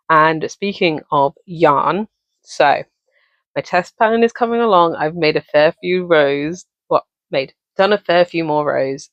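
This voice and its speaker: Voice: mid-range voice, a bit bright, no room sound. A young adult with an English accent chatting casually to camera as a crochet vlogger, rambling with many ums and self-corrections. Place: home office. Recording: dull, dry recording.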